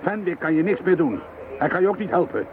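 An actor playing a headstrong, insistent chief police inspector in a radio drama. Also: male voice, fairly high pitch, sing-song, fast. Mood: stern, pressing. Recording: tinny, band-limited, hissy radio play recording.

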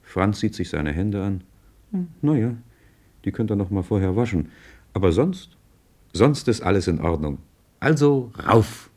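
A man's voice: lowish voice, big pitch swings, unhurried, a little mellow. An actor playing a knowing male narrator in a radio play, conversational and wry as he follows his hero. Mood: wry, familiar. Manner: conversational, wry, present-tense commentary. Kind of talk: scripted radio drama narration.

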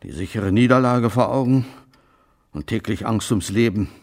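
An actor playing an elderly, self-justifying former Nazi policeman in a German radio drama, speaking haltingly and evasively. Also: on the low side, darkish tone, close to an everyday voice. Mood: weary, grave. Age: older, about 80s.

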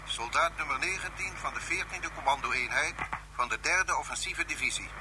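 A man's voice: fairly high pitch, moderate pace, noisy background, lightly acted. A man playing a deferential aide in a radio drama, reporting formally and carefully. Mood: apologetic. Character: deferential male aide reporting to his highness.

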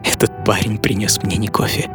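A man's voice: on the low side, little pitch movement, normal speed, natural voice. A man performing a dramatised first-person story as a dreamy, passionate coffee businessman, savouring his words theatrically. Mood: dreamy wonder. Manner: theatrical, savouring, emphatic delivery. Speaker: author and narrator of a radio programme. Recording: studio voice over music. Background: music.

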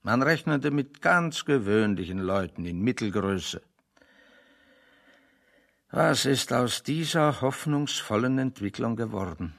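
An actor playing a weary, eloquent refugee intellectual in a radio play, speaking in a deliberate, rhetorical and dryly ironic way. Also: male voice, mid-range voice, lively intonation, vocal fry, a bit bright, full character voice. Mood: dry, indignant. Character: weary, eloquent male refugee intellectual, sick of being virtuous. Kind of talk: 1970 German radio play dialogue.

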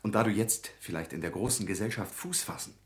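A man's voice: lowish voice, brisk, natural voice. A man playing an earnest, devoted, self-deprecating young friend in a radio drama. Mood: earnest, urging.

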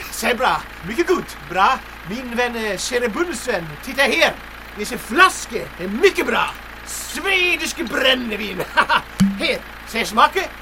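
A woman playing an eager, bungling young resistance sidekick in old-time radio comedy style, excitable in manner. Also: a little high, noisy background. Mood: eager, bluffing.

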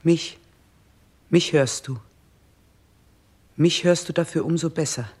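A woman playing a stern, composed queen in a 1960s German radio play, speaking in a measured, solemn, commanding way. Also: deep voice, darkish tone, slightly rough, full character voice. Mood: solemn, hypnotic. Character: stern, composed queen guiding a king toward death.

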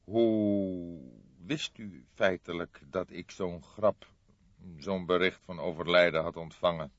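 Man playing a low-voiced courteous old detective. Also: steady tone, no echo, highs cut off. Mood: probing, polite. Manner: measured, deliberate, formally polite. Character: grey-haired old male detective, courteous and shrewd. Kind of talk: audiobook character voice.

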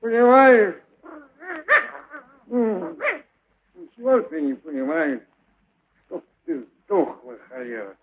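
A man playing a tipsy carpenter in an audio play, rambling to his dog in a drawn-out, muttering, singsong way. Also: fairly high pitch, very animated, slight background noise, exaggerated voice. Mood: maudlin grumbling. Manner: slow, drawn-out, muttering, drunken, breaks into singsong. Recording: muffled narrowband audio under loud music. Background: music.

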